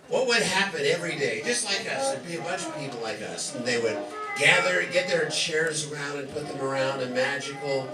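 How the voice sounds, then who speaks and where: A man's voice: fairly high pitch, lively intonation, normal speed. A man announcing a live show as master of ceremonies, jovial and joking. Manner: joking, chuckling. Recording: roomy distant stage recording, music behind.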